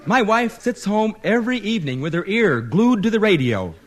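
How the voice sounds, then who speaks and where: A man's voice: sits high, very animated, normal speed, background noise. A pompous, blustering radio sponsor who lays down the law. His raised voice swoops up and down as he insists. It is a 1940s radio comedy. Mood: bossy. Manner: blustery, emphatic. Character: pompous middle-aged male radio sponsor.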